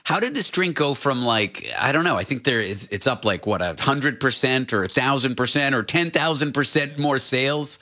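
A man hosting a radio talk show, chatting in an animated, playful, conversational way. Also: mid-range voice, moderate pace, few pauses. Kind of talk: conversational interview with scripted introduction.

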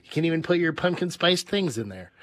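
A man's voice: fairly high pitch, big pitch swings, fast, a bit bright, dry recording. A man chatting easygoing and joking as a radio co-host. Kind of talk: casual chat.

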